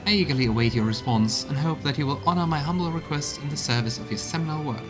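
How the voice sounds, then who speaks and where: A man's voice: on the high side, fast, music in the background, pitched above the performer's own voice, close to an everyday voice. A man voicing an effusive, well-bred lady explorer reading out her flowery, formal letter in a game. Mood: pleading. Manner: formal, florid, theatrical.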